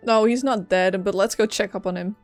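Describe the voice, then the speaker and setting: A woman's voice: mid-range voice, fairly quick, faint music behind. A woman giving casual, chatty live commentary as a game streamer, full of offhand asides.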